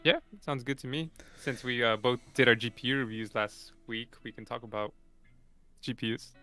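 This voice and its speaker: Young male co-host, bright crisp voice, relaxed and plain-spoken. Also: mid-range voice, moderate pace, soft background music. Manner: easygoing, explains plainly with fillers. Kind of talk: casual podcast chat.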